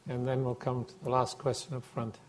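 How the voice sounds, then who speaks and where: A man's voice: medium pitch, little pitch movement, fairly quick, slight background noise. A man moderating a panel, politely calling on speakers and guiding the discussion.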